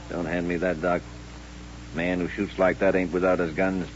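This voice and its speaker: An actor playing a menacing, sardonic western gunfighter with a mocking, folksy drawl in a radio drama. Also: male voice, low-pitched, level tone, a little slow. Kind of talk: old-time radio western acting. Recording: muffled old radio recording with hum.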